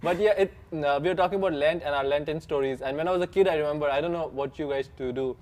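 This is a male host with an Indian accent, leading a casual panel chat with a fairly high voice. He jokes a lot, laughs often and trips over restarts as he rambles along. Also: fast, a little mellow.

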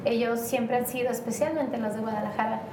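A woman's voice: fairly high pitch, steady tone, fairly quick. A woman from Mexico, a guest in an interview, talking in a rambling, hesitant way with many fillers. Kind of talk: casual interview conversation. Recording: roomy, distant, faint noise.

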